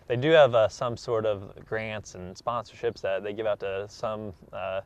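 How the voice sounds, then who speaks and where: A man's voice: fairly low pitch, moderate pace, clean tone, lo-fi sound. A young American man in his twenties, an athlete giving a casual interview in an earnest, modest way. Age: 20s. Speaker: track athlete being interviewed.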